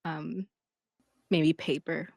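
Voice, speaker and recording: Female game master, lowish pitch, slow halting improvised narration, encouraging toward players. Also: flat delivery, creaky, no echo, clean studio sound.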